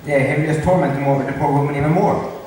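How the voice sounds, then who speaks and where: A man's voice: on the high side, fairly even intonation, racing, darkish tone, close to an everyday voice. A man in an amateur school play, playing a conspiring, gossiping friend and stumbling over his lines. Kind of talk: amateur school stage acting. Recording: distant mic in echoey hall, noisy.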